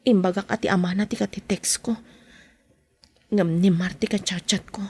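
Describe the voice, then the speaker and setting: Husky-voiced young wife, acted by a woman. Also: mid-range voice, steady tone, moderate pace, a little mellow. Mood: secretive. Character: married mother at home, drawn into a secret affair. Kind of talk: Philippine podcast radio drama acting with narration.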